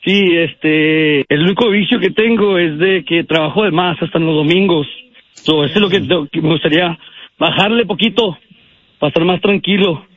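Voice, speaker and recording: A man in his twenties with a high voice talks as a caller on a radio show. He is polite and a bit hesitant, chatting casually over a muffled, lo-fi line. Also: normal speed, a bit bright, no room sound. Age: 20s. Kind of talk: casual chat. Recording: dull lo-fi call on radio.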